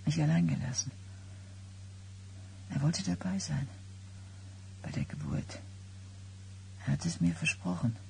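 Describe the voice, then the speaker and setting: An actress playing an exhausted, foreboding expectant wife in a radio drama, brooding in a breathy, creaky near-whisper. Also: female voice, low-pitched, mellow, a little hiss or hum, full character voice, poor audio. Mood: fearful, brooding. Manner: slow, brooding, intense. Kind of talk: 1990s German radio drama acting.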